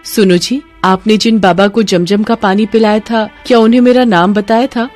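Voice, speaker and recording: A woman's voice: mid-range voice, fairly even intonation, normal speed, a little mellow, soft background music, natural voice. A woman voicing a devout, reassuring village wife with earnest delivery in a moral cartoon. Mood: curious. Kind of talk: Hindi moral cartoon dialogue.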